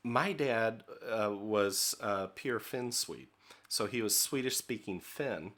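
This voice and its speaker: A man's voice: on the low side, slow pace, fairly bright tone. Conversational middle-aged American man lecturing. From United States. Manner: conversational, many fillers, asks "okay?". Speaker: leadership trainer. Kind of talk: recorded training lecture.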